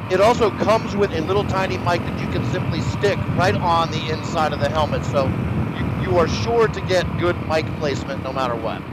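A man's voice: on the high side, a little fast. A man riding a motorcycle, chatting casually and upbeat about gear over a helmet intercom mic. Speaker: product reviewer riding a motorcycle. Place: outdoors on a motorcycle trail. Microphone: helmet intercom boom mic. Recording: intercom audio with loud wind and engine noise.

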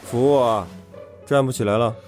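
A man playing a teasing, possessive, somewhat gruff young boyfriend in an audio drama. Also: mid-range voice, moderate pace, darkish tone, soft background music, natural voice. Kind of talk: Chinese audio drama acting.

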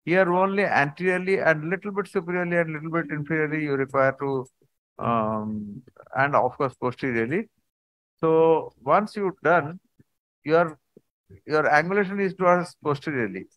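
A man, a surgeon, explaining surgical video clips in a halting conference talk over a video call. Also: a little high, a bit bright, no echo, poor audio. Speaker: surgeon presenting cases.

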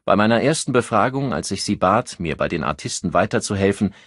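An insistent police inspector, played by a male audiobook narrator, with a husky voice. He presses sharply and irritably, swinging widely in pitch as a crime-novel investigator. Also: lively intonation, brisk, a bit bright, no echo, studio quality. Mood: indignant.

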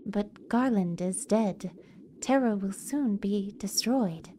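A woman's voice: mid-range voice, slow, mellow, a little hiss or hum, lightly acted. A woman voicing a detached, hopeless young girl from a video game in a subdued, resigned way. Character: bleak, detached young girl without hope. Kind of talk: video game character read-aloud.

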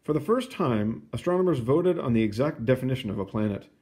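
A man reading a children's book aloud in an expressive, explanatory way, with casual asides. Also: medium pitch, brisk. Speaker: picture book reader.

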